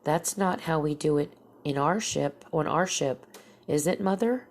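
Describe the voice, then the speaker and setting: A woman with a stuffy, nasal voice quoting a curious twelve-year-old boy in a spoken story, pitched a little higher than her own voice. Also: unhurried. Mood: puzzled.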